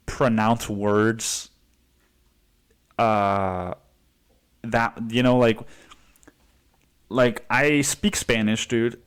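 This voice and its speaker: A young man from Cancun rants through a solo podcast episode. He swears freely and drops "dude" constantly. He jumps up into a higher voice whenever he mimics other people. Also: clean tone. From Cancun, Mexico. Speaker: podcast host. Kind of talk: casual solo podcast rant.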